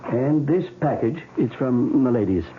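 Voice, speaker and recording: An actor playing an even-tempered, wry hospital doctor in a radio drama, casual and explanatory. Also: male voice, mid-range voice, measured pace, slight background noise, lightly acted. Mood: matter-of-fact. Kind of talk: 1970s radio drama acting. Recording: tinny narrow-band old radio recording.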